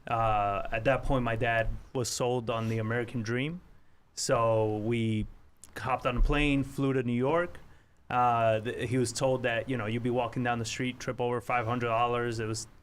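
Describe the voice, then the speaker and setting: A man's voice: mid-range voice, level tone, moderate pace. Laid-back Argentine-born male guest. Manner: laid-back, a bit rough-edged, with wry humor. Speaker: interview guest, real estate wholesaler. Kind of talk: casual storytelling.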